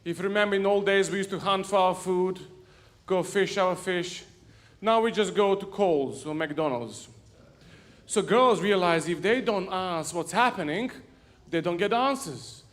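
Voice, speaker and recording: Joking pastor, high voice. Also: male voice, a little slow. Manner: jokey and self-mocking, laughs at his own stories. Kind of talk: humorous sermon storytelling. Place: church sanctuary.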